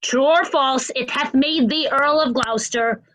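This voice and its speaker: A woman's voice: high voice, a little slow, fairly bright tone, rough recording. A woman playing a vengeful, scheming duke in a Shakespeare reading. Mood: cold, vengeful. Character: vengeful, scheming Duke of Cornwall, cold male villain. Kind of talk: remote reader's theatre Shakespeare.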